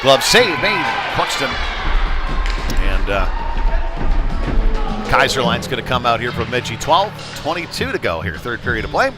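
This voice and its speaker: A man commentates live on an ice hockey game with a medium-pitched voice. His play-calling is conversational and informal, following the action as it unfolds. Loud music plays behind him in the rink. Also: very animated, moderate pace, long pauses. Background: loud arena music.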